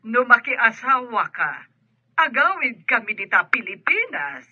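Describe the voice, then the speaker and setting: Casting: affectionate middle-aged mother, unhurried but swooping delivery, radio drama, muffled telephone sound. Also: on the high side, very animated, bright, forceful tone, breathy edge, dry recording, heavily acted. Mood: affectionate, coaxing. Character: affectionate mother urging her grown daughter to marry.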